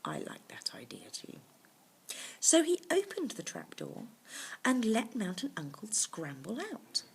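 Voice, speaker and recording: Slow, expressive woman storyteller, soft husky voice. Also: a little high, low-quality recording. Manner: explains words simply to young listeners. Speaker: storyteller reading to children. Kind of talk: animated children's bedtime story reading with explanatory asides.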